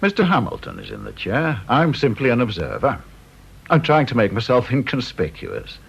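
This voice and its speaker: An actor playing a needling senior British official in a radio drama, dry, sardonic and mock-polite. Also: male voice, mid-range voice, wildly swooping pitch, normal speed, creaky, a bit bright, breathy edge, heavily acted. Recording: dull old radio recording.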